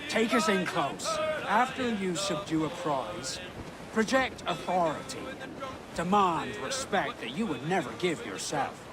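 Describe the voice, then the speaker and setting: An actor playing a seasoned pirate captain in a video game, instructive, salty and theatrical with an edge of menace. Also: male voice, a little high, big pitch swings, unhurried, over a noisy crowd. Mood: stern, menacing. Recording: loud sea and ship noise behind.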